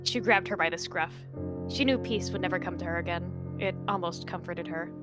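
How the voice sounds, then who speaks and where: A woman narrating a dramatic roleplay story in an expressive, measured storytelling manner. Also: on the low side, over music.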